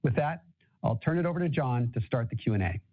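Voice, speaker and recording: A male finance executive presents on a corporate earnings call in a businesslike register. His voice sits at a medium pitch and comes through a narrow, phone-like line. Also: lively intonation, fast. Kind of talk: scripted corporate earnings presentation, then answering questions. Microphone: conference call line.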